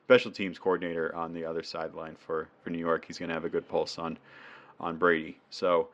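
A man's voice: sits low, flat delivery, a little fast, fairly bright tone, highs cut off. A man with a New England accent co-hosting a casual sports podcast, chatty and laughing often. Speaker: podcast co-host.

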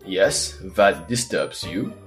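A man's voice: low voice, very animated, slow, soft background music, heavily acted. A man reading the part of a proud, wounded noble swordsman, in a formal and courtly manner. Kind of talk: audiobook character reading.

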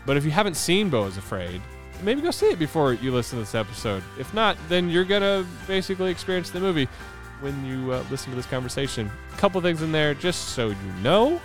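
A man's voice: fairly high pitch, big pitch swings, fast, few pauses, faint music behind. A man co-hosting a casual podcast, sick with a stuffy nose, rambling with lots of fillers and laughing often. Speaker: podcast co-host.

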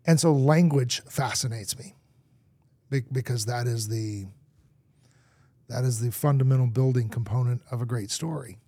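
A man who grew up in Argentina, a podcast guest telling lively stories in conversation. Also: medium pitch, moderate pace, pauses often, slightly rough, dry recording. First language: English. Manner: lively storyteller acting out anecdotes. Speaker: guest, marketing executive.

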